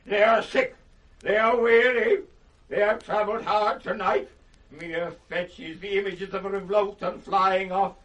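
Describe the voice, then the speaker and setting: A man's voice: sits high, fairly even intonation, a little slow, a bit bright. An actor playing an aging, proud, wrathful king in classic radio Shakespeare, grand and volatile. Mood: imperious fury. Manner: grand, volatile, swinging from thunder to broken murmurs. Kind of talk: 1960s British radio Shakespeare acting.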